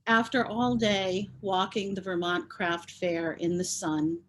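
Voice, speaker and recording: A woman speaking in a measured, deliberate way, as at a heartfelt poetry tribute on a video call. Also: mid-range voice, fairly even intonation, fairly bright tone, a little hiss or hum. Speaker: poet reading at a tribute event. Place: virtual reading over video call.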